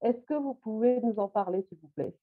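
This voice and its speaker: A woman hosting a webinar, introducing a guest in halting speech with many pauses. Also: fairly high pitch, expressive, no echo. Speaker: webinar host. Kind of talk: hesitant moderation. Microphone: video call. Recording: dry tinny phone-like line.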